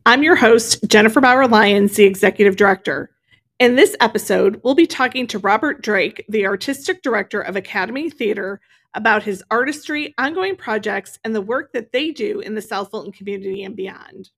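A woman's voice: medium pitch, a little fast, fairly bright tone. Female podcast host, mid-range voice, interview delivery. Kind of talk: interview with scripted introduction.

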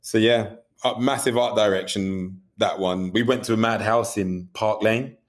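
A man's voice: fairly low pitch, fairly even intonation, normal speed. A man being interviewed about directing music videos, talking candidly and animatedly, often asking "you know what I mean" and swearing casually.

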